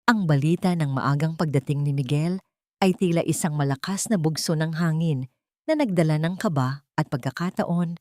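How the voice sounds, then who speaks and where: A woman narrating a scripted dramatic audio story in a calm, measured, solemn way. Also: on the low side, no echo, studio quality.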